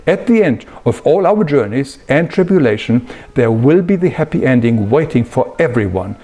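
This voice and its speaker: A man giving an explanatory spiritual talk in an earnest, emphatic manner with measured phrasing. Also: mid-range voice, sing-song, mellow. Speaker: spiritual teacher.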